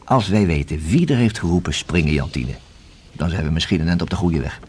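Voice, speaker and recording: Deep-voiced methodical police inspector. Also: male voice, lively intonation, a little mellow, husky. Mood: pensive, speculating. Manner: formal, deliberate, measured questioning. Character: methodical male police inspector, reasoning and probing. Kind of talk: radio drama acting. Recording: hissy old radio play recording.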